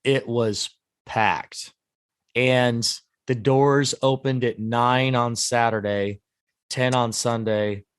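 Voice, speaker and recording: A hoarse man co-hosting a podcast, recapping a trade show in long rambling stretches with many restarts. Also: mid-range voice, steady tone, slow pace, dry recording, clean studio sound. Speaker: podcast co-host. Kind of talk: casual podcast chat.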